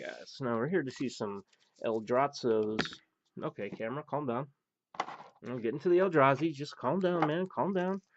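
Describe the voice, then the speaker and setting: A man hosting a pack-opening show, rambling through the cards with many fillers and blunt remarks, his voice going hoarse. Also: mid-range voice, slight background noise. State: losing his voice. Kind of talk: casual card-opening commentary. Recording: dull, dry recording.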